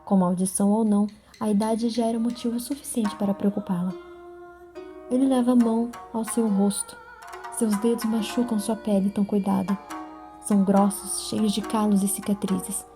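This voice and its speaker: A woman's voice: mid-range voice, flat delivery, moderate pace, music in the background. A woman with a Brazilian accent narrating a scripted, literary audio drama.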